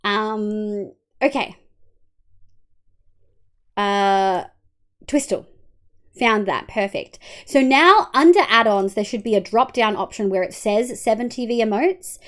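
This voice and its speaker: A woman's voice: medium pitch, takes it slowly, long pauses. A young Australian woman streaming live, chatting casually with her viewers and walking them through settings, her voice congested and nasal. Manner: chatty, talks to chat, hums to herself. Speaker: livestreamer. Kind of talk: casual livestream chat and walkthrough.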